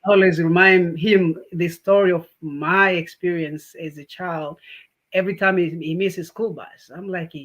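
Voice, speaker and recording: A man from Tanzania, a guest on a podcast, talking in a warm, grateful way with many fillers and restarts. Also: sits high, fairly even intonation, normal speed, highs cut off. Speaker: guest, healthcare business owner. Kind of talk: casual interview.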